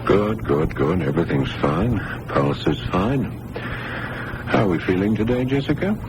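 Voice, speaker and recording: An actor playing a young hospital doctor in a radio drama, brisk, reassuring and probing, with patient bedside patter. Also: male voice, deep voice, expressive. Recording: noisy old radio broadcast recording.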